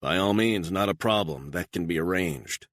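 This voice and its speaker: A man voicing a calm, menacing gunman who gives clipped, flat threats. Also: low voice, normal speed, dry recording, studio quality. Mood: menacing, cold.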